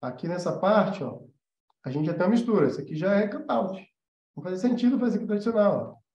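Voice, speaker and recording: High-pitched male voice, Brazilian accent, mellow tone, informal teacher, emphatic explanations. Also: animated, fairly quick. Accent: Brazilian Portuguese. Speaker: animation teacher and studio animator. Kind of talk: informal live-stream lesson. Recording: roomy echo, slightly dull sound.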